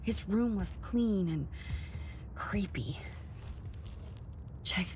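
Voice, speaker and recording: An actress playing an earnest, curious teenage girl student in a video game, soft and a little hesitant. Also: mid-range voice, animated, very slow, slight background noise. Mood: hushed, uneasy. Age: young adult. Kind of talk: video game dialogue acting.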